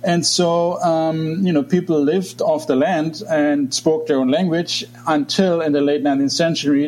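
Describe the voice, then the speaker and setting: A man in his forties from Germany with a German accent, speaking English as a second language, talking as a guest researcher in a thoughtful, halting way with many restarts. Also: on the high side, a little mellow, a little hiss or hum, rough recording. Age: 40s. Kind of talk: interview talk.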